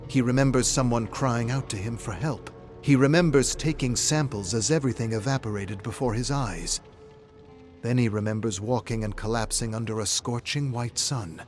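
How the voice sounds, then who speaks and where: A man narrating a dramatic scripted story in a measured, suspenseful way. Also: on the low side. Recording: music behind the voice. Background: background music.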